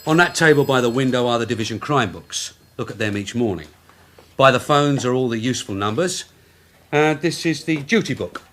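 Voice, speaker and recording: A man's voice: mid-range voice, animated, fairly quick, fairly bright tone, lightly acted. An actor with a British accent playing a wry, streetwise male detective sergeant in a radio drama, with a dry, sardonic way of talking. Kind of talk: 1980s radio drama acting.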